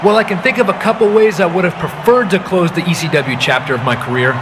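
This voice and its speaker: A man plays a smug wrestler in a video game cutscene. He has a fairly high male voice with breezy, sarcastic confidence, and there is clear noise behind it. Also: fast, natural voice. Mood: proud, rallying. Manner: sarcastic, breezy, self-assured. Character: cocky, wisecracking male pro wrestler, smug crowd favourite.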